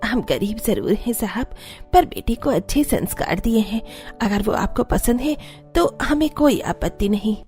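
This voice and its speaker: A woman voicing a humble, gentle mother of a bride in a Hindi cartoon story. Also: a little high, lively intonation, unhurried, faint music behind.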